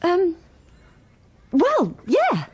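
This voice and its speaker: A woman's voice: very high voice, huge pitch swings, very slow, exaggerated voice. A woman playing a timid, anxious wife in a radio drama, speaking hesitantly with stammering restarts, both in dialogue and intimate narration. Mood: nervous, earnest. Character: anxious, timid wife who has turned invisible.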